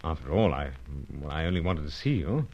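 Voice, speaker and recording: An actor playing a suave secret agent, urbane, hesitant and wry, in a radio serial. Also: male voice, rumbling low, sing-song, a little fast, darkish tone, full character voice. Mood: placating. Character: suave, bantering British male secret agent. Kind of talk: old-time radio serial acting, dialogue and narration. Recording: dull lo-fi old radio recording.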